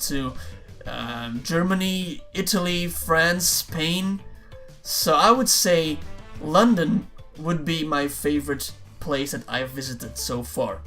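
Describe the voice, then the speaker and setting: Chatty young man with soft music behind. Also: a little high. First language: Dutch. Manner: chatty, repeats words and restarts, says "man" often. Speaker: YouTuber. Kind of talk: casual Q&A answering viewer questions.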